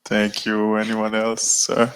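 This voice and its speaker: A man acting as moderator and interpreter, calmly rendering the guest's French into English at a live event. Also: fairly low pitch, clear, resonant tone. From Haiti. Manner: measured, rephrasing the guest's words in English. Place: live event before an audience.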